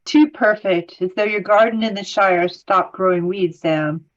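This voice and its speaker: A grave, thoughtful young hobbit burdened by worry, read by a woman in a fantasy script reading. The voice is mid-pitched and slightly muffled. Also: unhurried, lightly acted. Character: solemn, troubled young male hobbit ring-bearer.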